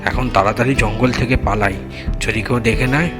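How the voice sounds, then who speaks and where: A man's voice: lowish voice, fast, natural voice. A man playing a hardworking village father and woodcutter in a cartoon, talking in short, instructing phrases. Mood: furtive, hurried. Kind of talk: cartoon dialogue. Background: background music.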